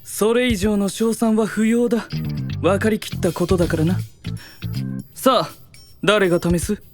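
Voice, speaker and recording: A man's voice: high voice, steady tone, measured pace, over music. A man playing a haughty, eccentric young genius inventor, pompous and theatrical, in a fantasy audio drama. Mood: smug.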